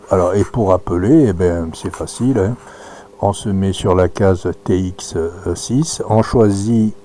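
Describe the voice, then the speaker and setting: A man giving an informal live demonstration as an amateur radio operator, halting and thinking aloud as he works. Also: deep voice, warm tone. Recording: noisy recording with audible hum.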